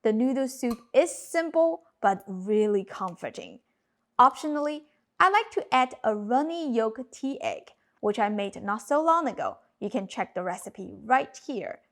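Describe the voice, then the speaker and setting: Higher-voiced woman teaching a recipe. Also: expressive, measured pace, a bit bright. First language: Cantonese. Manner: clear step-by-step instructional delivery. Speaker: cooking channel host. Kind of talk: scripted cooking narration. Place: home kitchen.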